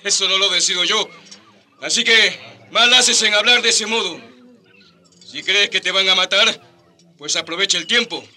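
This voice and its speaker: An actor playing a callous, bossy colonial soldier who taunts captives in a radio drama. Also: male voice, high-pitched, moderate pace, long pauses, sharp tone. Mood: jeering. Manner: jeering, bossy.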